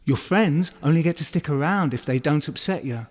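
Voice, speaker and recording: A man's voice: on the high side, normal speed, lightly acted. A man playing a hurt, blunt boyfriend accusingly ending a relationship in an audio drama. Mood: cold, insistent. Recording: long reverberant hall sound.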